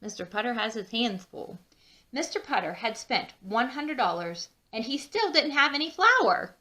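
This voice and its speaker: A woman reading a children's storybook aloud with expressive, drawn-out emphasis and chatty asides. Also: a little high. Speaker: children's book read-aloud narrator.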